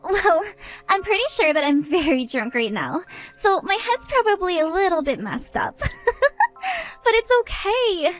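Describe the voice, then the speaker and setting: Woman voicing a high, giggling drunk troublemaker, sing-song taunts over soft music. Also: very animated, normal speed. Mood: mocking tipsy.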